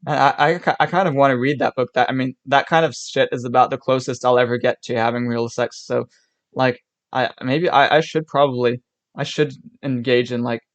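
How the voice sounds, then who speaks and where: A man's voice: medium pitch, steady tone, fairly quick. A young man from Switzerland reacting to videos on a live stream, talking in rambling bursts with restarts and laughs. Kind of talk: casual reaction commentary.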